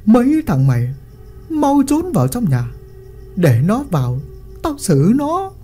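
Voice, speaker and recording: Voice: mid-range voice, wildly swooping pitch, measured pace, warm tone, soft background music, pitched above the performer's own voice. A storyteller voicing a cruel, arrogant young master who barks orders at his servants. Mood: commanding. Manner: bossy, barking orders.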